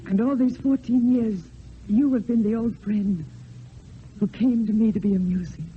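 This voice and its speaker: Woman playing tender mourning lady, high voice, earnest melodrama, vintage radio play, very muffled recording. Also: a little hiss or hum, natural voice. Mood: realizing, insistent. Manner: earnest, melodramatic, short measured phrases. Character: tender, grieving lady who has long mourned her love. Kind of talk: old-time radio play acting. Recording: muffled old radio, music.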